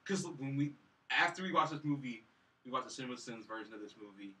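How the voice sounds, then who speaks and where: A man with a bright, medium-pitched voice chats casually on a review show. He rambles and sounds dismissive. He is picked up from a little way off the microphone. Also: animated, fast. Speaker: co-host of a film review show. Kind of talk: casual chat, recapping and mocking. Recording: distant from the mic.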